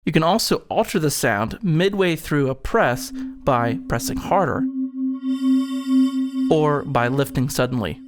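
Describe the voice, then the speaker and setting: A man's voice: on the high side, animated, measured pace, long pauses, loud music behind the voice. A man presenting an instructional product demonstration, explaining things step by step.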